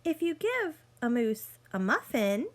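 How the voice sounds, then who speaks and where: A woman reading a picture book aloud like a teacher, speaking deliberately to young listeners and explaining as she goes. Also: a little high, big pitch swings. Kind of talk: read-aloud storytelling with teaching asides.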